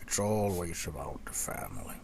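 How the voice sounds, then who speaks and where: A man playing a gruff, seasoned old vampire hunter in a softspoken ASMR roleplay, half-whispering in a folksy, rambling way to a rookie. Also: big pitch swings, heavily acted. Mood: weary, welcoming. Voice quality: low, gravelly, breathy half-whisper. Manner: unhurried, folksy, rambling with musing pauses.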